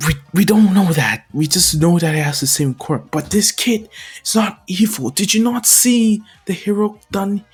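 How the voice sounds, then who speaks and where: A man plays a firm, earnest anime superhero pleading his case. His voice is lifted above normal and somewhat husky, with music behind. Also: high voice, a bit bright, voice pushed up, full character voice. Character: firm, earnest veteran male superhero defending a boy. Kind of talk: improvised anime story acting.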